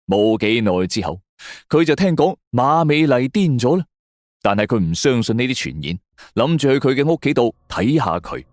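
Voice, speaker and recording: A man's voice: medium pitch, very animated, normal speed, darkish tone, no room sound, studio quality. A man narrating a suspense story in a colloquial, dramatic storyteller style. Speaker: audiobook narrator.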